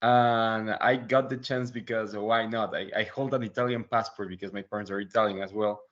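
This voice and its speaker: A young man from Ecuador, a student talking informally in a seminar, pausing mid-phrase. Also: fairly low pitch, fairly even intonation, a bit bright, muffled recording. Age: young adult. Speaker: law student asking questions.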